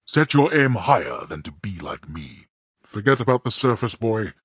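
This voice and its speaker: Casting: imperious underworld god for a game, very deep, husky, commanding, cold formal delivery. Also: male voice, sing-song, normal speed, no room sound, clean studio sound. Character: stern imperious male god of the dead. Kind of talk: video game voice acting.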